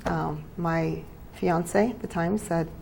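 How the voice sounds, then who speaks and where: An older woman from New Mexico, a guest in a casual interview, chatty and halting, laughing easily. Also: lowish voice, steady tone, creaky, a little mellow, a little hiss or hum, poor audio.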